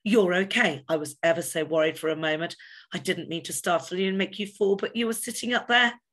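A woman's voice: fairly low pitch, a little fast, a bit bright. A woman voicing a plump, motherly female pigeon who chatters on breathlessly. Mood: fussing.

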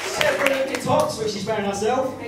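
A man's voice: very high-pitched, fast, very noisy. A young man from Reading on a school stage with a handheld mic, rambling and cheeky with laughs. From Reading, England. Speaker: student presenter. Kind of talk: stage comedy bit. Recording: echoey hall, far camera, loud crowd.

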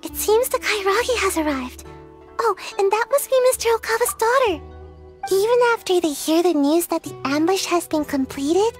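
An actress playing a small, matter-of-fact young ninja girl with a childlike manner in an anime-style video game. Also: extremely high pitch. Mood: wary.

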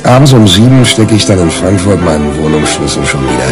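An actor playing a brash, hungover newspaper reporter in a radio crime drama, colloquial and sardonic. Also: male voice, low voice, big pitch swings, fairly quick, over music. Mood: sardonic narration. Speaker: main character and narrator.

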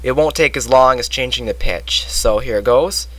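A man narrating a software tutorial step by step, recorded close on a computer microphone. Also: medium pitch, normal speed. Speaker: tutorial presenter. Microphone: close computer microphone, voice-over.

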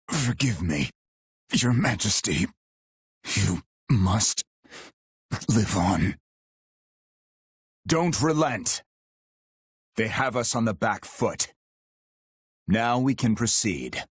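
A husky, very low-voiced male warrior for a fantasy video game, loyal and stern. He speaks slowly in short, formal, restrained phrases, recorded close and dry. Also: no room sound, exaggerated voice. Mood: somber. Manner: solemn, formal, restrained, terse. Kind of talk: video game battle voice lines.

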